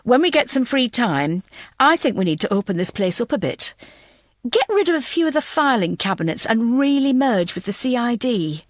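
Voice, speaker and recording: A woman voicing a decisive, supportive female police boss in an audiobook, briskly giving orders. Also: on the high side, sing-song, dry recording, clean studio sound.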